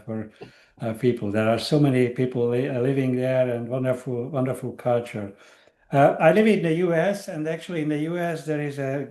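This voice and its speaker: An older man from Hungary giving an academic lecture over a video call, pausing often with fillers and restarts. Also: a little high, warm tone, echoey room. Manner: hesitant, many uh fillers and restarts. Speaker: guest lecturer.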